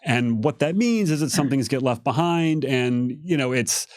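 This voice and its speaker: A man's voice: medium pitch, expressive, moderate pace, dry recording, clean studio sound. A man giving careful, casual explanations as a game developer on a livestream Q&A. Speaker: game setting developer on a Q&A panel.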